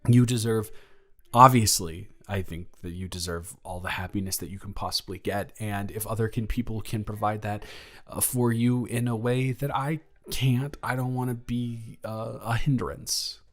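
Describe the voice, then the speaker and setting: A man playing an awkward, affectionate high school boy in a romantic audio roleplay, speaking in a rambling, stammering way. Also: fairly even intonation, fairly bright tone, lightly acted. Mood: insecure, earnest. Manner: halting, rambling, stammering, self-deprecating.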